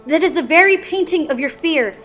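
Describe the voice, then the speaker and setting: A woman's voice: sits high, expressive, fairly quick, fairly bright tone, faint music behind. A woman playing a commanding, scornful queen in a dramatic reading of Shakespeare. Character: commanding, scornful queen covering for her husband.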